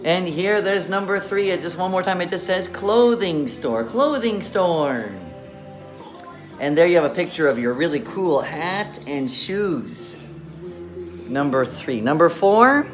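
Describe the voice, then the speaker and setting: A middle-aged man speaks as an encouraging children's English teacher, walking students through worksheets. He takes his time and spells things out carefully, slightly muffled behind a mask. He is recorded on a handheld camera in a classroom, with faint music behind him. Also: high voice. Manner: encouraging, slow deliberate enunciation for children. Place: indoor classroom. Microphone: phone or camera at arm's length.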